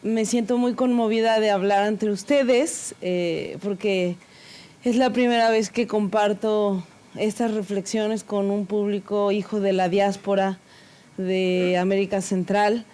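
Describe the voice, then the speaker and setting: A woman speaking earnestly at an academic conference panel, halting and full of fillers, openly nervous. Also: mid-range voice, warm tone. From Mexico. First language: Spanish.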